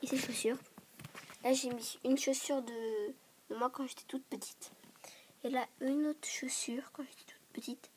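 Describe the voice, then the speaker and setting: A woman with a stuffy voice from a cold, rambling quickly through a casual show-and-tell vlog and often dropping to a whisper. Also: high voice, fairly even intonation. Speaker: vlogger showing a toy dollhouse. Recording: clear background noise and clicks.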